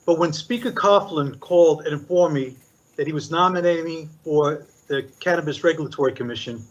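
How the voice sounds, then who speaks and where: Older man giving formal remarks, lo-fi. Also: fairly high pitch. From Puerto Rico. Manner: measured, deliberate delivery with listed points. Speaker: commissioner. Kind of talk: formal prepared statement at a public meeting. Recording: dull lo-fi meeting recording.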